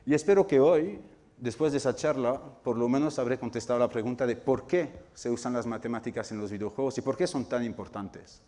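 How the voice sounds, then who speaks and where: A man whose first language is not Spanish, lecturing an audience on game development and often pausing to search for words. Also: medium pitch, mellow. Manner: searching for words, frequent restarts and fillers. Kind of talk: public talk to an audience. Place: cultural center lecture room.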